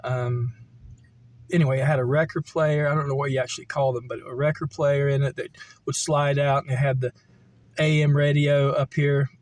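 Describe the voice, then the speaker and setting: A middle-aged American man reminiscing casually, in a halting, meandering way as he thinks aloud. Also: mid-range voice, flat delivery, no echo. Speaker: vlogger telling personal stories. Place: camper van.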